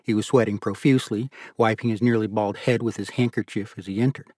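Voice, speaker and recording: A man's voice: on the low side, fairly even intonation, brisk, darkish tone, dry recording. Male audiobook narrator, dry close recording. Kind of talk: scripted literary fiction narration.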